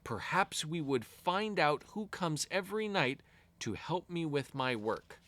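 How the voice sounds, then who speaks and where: A man's voice: a little high, expressive, a little slow, fairly bright tone. A man voicing a humble, hardworking storybook shoemaker full of wonder, speaking earnestly. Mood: curious.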